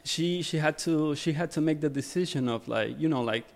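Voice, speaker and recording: A man's voice: on the high side, normal speed, gravelly. A young man from Ecuador, a guest on a podcast, talking casually about his photography career with many fillers and repeated words.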